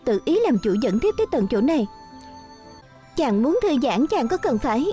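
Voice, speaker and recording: A young heroine of an ancient costume drama. Her high voice swings expressively with a soft, mellow tone. She is pensive and playful, speaking as if thinking aloud. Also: very animated, normal speed, faint music behind. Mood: pouting, reproachful. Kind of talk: Vietnamese dubbing of costume drama.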